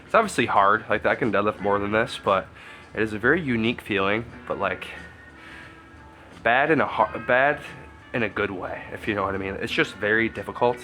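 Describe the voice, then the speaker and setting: A congested man, a strength coach, chatting casually through a training vlog and thinking out loud. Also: lowish voice, fairly quick, faint music behind. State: congested, stuffy nose.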